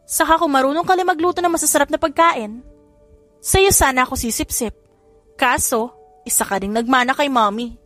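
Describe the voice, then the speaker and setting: A woman narrator puts on a cocky older brother in an audiobook romance. The voice is high for the part, boastful and quick to needle, over soft music. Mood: sarcastic. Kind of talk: audiobook character dialogue.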